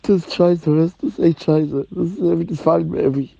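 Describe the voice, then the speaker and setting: A middle-aged man talks in a loose, repetitive, slangy ramble. His voice is fairly high for a man, with a dark, mellow tone and plenty of gravel. The recording is dull and lo-fi. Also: brisk, dry recording. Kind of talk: rambling informal monologue.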